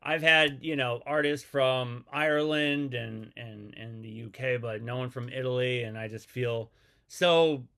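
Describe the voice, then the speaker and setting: A man's voice: mid-range voice, measured pace, fairly bright tone, clean tone. A man hosting a podcast, asking questions in a friendly, enthusiastic way, with plenty of "you know" and "like". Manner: friendly, enthusiastic, talks with filler phrases. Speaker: podcast host. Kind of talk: casual interview chat.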